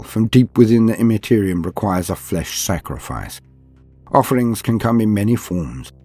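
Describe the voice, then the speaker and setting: Low, husky male dark-fantasy narrator. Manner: grave, formal, ominous storytelling. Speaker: narrator of a fantasy war-game lore guide. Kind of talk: scripted dramatic lore narration. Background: music.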